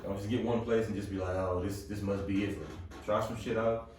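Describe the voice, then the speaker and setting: A man giving relaxed, plain-spoken advice as a podcast co-host. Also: fairly low pitch, fairly quick, far from the mic, slight background noise. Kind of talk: casual chat, giving advice. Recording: echoey room, off mic.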